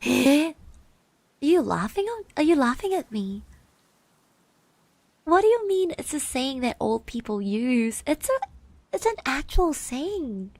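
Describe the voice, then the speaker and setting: A woman playing a shy, friendly schoolgirl classmate in an ASMR roleplay, speaking softly, gently and hesitantly. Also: high-pitched, big pitch swings, measured pace, pauses often, no room sound, full character voice. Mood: mock offended. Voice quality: soft, breathy. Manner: hesitant, gentle, with little hums and trailing repeats. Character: shy, friendly high school girl classmate, admiring and easily flustered.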